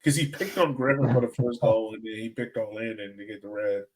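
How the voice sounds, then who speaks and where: A man's voice: fairly low pitch, steady tone, fairly quick, low-quality recording. A man hosting a fan podcast, chatting casually about soccer in a rambling, colloquial, conversational way. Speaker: podcast host.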